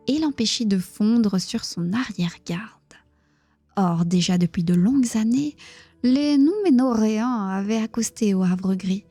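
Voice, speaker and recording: A woman with a Belgian accent reading a book aloud on a live stream in a hushed, near-whispering voice, stopping to chat with her viewers. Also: mid-range voice, husky. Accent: Belgian French. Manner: reads narration in a hushed, near-whispered voice, chats plainly with viewers.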